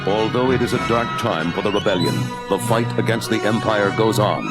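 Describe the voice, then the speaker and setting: A man's voice: fairly low pitch, brisk, natural voice. A man narrating a space saga in a solemn, scripted epic style. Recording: loud orchestral music behind.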